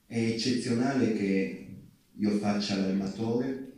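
A man with a dark, lowish voice gives a speech as an activist. He talks very slowly, in short deliberate phrases. The sound is distant and heavily echoing, as in a theatre. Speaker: ship owner and activist speaker. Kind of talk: public speech to an audience. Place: theatre stage. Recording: very echoey theatre hall, distant mic.

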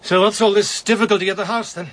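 A man's voice: high voice, steady tone, quick pace, fairly bright tone, a little hiss or hum. A man with a British accent playing a reserved, blunt veteran in a radio drama, speaking tersely. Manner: terse, dry. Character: reserved young man back from war, blunt. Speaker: man home from war.

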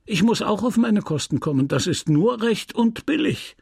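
A man acting a sly, mocking moneylender, pitched up. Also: lively intonation, unhurried, gravelly, breathy edge, no echo, clean studio sound, exaggerated voice. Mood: smug, scornful. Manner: sarcastic, smug, lecturing. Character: sly, mocking, merciless male merchant and moneylender. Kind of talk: dramatised audiobook reading of a literary novel.

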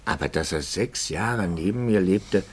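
Troubled priest, low husky voice, intense and searching, brooding delivery, 1950s radio play. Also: male voice, unhurried. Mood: agitated. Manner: brooding, intense, often dropping to near whisper.

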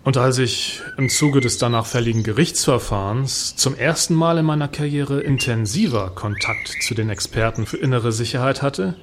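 A man acts out a smug provocateur artist in a satirical radio play monologue. The voice sits at a medium male pitch and recounts things with measured, wry self-assurance. Clear background noise and birdsong surround it. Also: close to an everyday voice. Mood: wry, self-assured. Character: provocative male performance artist, smugly recounting his political awakening.

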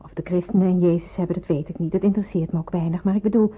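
A woman's voice: on the low side, steady tone, racing, slightly rough. A woman acting an earnest, insistent young person in hiding in a wartime radio drama. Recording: muffled, band-limited old radio recording.

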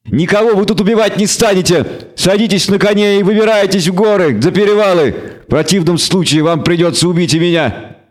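A bleating goat devil from a fantasy audiobook, acted out by a man. The voice is pitched very high, indignant and quarrelsome, with a stubborn, defiant temper. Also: a little fast, natural voice.

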